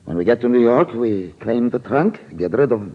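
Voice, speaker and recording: An actor playing a nervous, scheming male con man in a 1940s radio drama, speaking with a halting, theatrical delivery on a muffled old recording. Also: medium pitch, expressive, slightly rough. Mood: sinister, deliberate.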